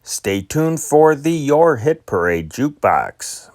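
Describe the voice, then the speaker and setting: A man's voice: fairly high pitch, sing-song, slow pace, a little mellow. A man hosting a music chart countdown, reading scripted introductions. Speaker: radio countdown host.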